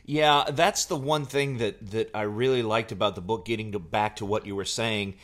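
A man co-hosting a podcast in casual chat, with a halting way of talking that repeats words. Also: lowish voice, steady tone, fairly bright tone. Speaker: podcast co-host, Elvis tribute artist.

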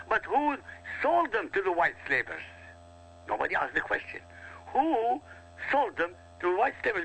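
A man from Iraq whose first language is Arabic, lecturing forcefully and emphatically in a heated radio talk, repeating points for weight. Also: on the high side, husky, rough recording. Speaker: guest commentator.

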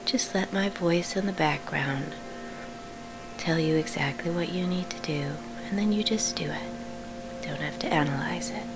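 A woman with a breathy, often whispery voice gently guides a sleep meditation, hushed and unhurried, with reassuring instructions. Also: low voice. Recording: clearly audible background hum.

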